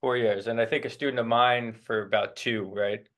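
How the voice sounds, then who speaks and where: A male host and guitar teacher interviewing one of his students in a casual, unscripted way. He speaks loosely and easily, with filler words and plenty of pauses, in a mid-range voice. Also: mid-range voice, steady tone, fairly bright tone.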